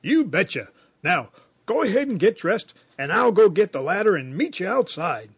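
A man's voice: sits high, very animated, normal speed, slightly rough, dry recording, studio quality, heavily acted. A man playing an earnest, bumbling handyman dad in a comedy radio sketch, sheepish and hesitant when explaining himself. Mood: upbeat, earnest.

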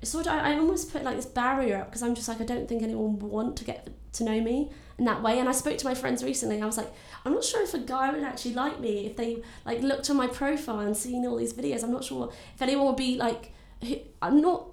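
A woman's voice: a little high, fast, creaky, few pauses, darkish tone. A young woman with a nasal voice chatting casually as a guest, talking openly and filling her speech with "like". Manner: chatty, full of fillers. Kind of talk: casual chat. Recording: echoey room.

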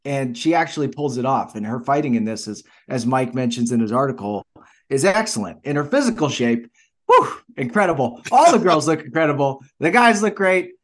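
A man co-hosting a casual film podcast, talking in an enthusiastic, halting, rambling way with some swearing. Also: mid-range voice, lively intonation. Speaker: podcast co-host. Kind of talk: casual chat about films.

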